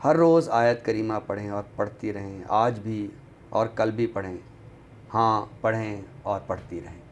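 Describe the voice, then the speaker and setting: A man reciting poetry on a literary show in a deliberate, expressive way, returning to refrains. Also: fairly low pitch. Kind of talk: dramatic Urdu poetry recitation, then book talk. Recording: clearly noisy background ambience.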